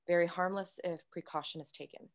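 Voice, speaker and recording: Woman acting low-voiced, composed female android. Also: steady tone, close to an everyday voice. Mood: matter-of-fact. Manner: measured, matter-of-fact, coolly explanatory. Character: composed, secretive female android science officer. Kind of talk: sci-fi screenplay table read.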